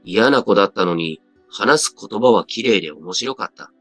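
A man's voice: on the low side, animated, normal speed, creaky, a bit bright, no room sound. A man reading a scripted first-person story as a dramatic narrator. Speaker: story reader. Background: background music.

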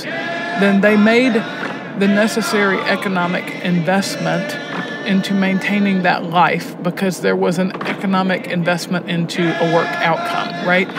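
A woman talks candidly and reflectively, thoughtful and deliberate, pausing as she goes. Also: lowish voice. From Alabama, United States. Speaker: prison poetry teacher and program head. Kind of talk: candid, reflective interview talk while driving. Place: inside a moving car. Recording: clear vehicle and road noise.

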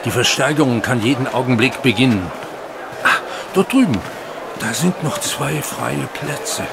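An eager, brisk gentleman in an audio drama. His mid-pitched voice swings in lively fashion. Clear ambient noise sits behind him. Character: eager, cheerful gentleman leading his friend to an auction. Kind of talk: German audio drama acting.

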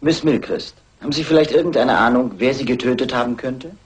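A man playing a brisk, impatient police inspector in a radio crime play, businesslike and curtly polite. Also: medium pitch, natural voice. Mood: questioning.

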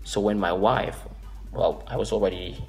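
Filipino man, 30s, vlogger; low, gravelly delivery, earnest and rambling, office room, close camera mic. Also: moderate pace, soft background music. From Philippines. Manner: earnest, rambling with restarts and fillers. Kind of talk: casual storytelling to camera.

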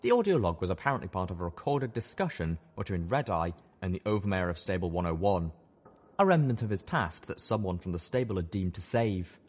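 A man's voice: low-pitched, expressive, brisk, husky. A man giving dramatic scripted narration of a story as an audiobook narrator.

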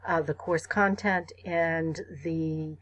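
A woman instructor talking students through an online course site, measured and full of ums and uhs. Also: low-pitched, steady tone, muffled recording. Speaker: college instructor. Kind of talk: screen-recorded course site walkthrough.

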